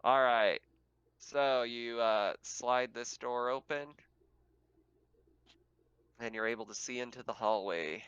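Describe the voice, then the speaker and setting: A man's voice: medium pitch, takes it slowly, long pauses, a bit bright, smooth, no echo, lo-fi sound. A man narrating a tabletop roleplaying game as game master in an easygoing, casual way. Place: voice chat of an online game session.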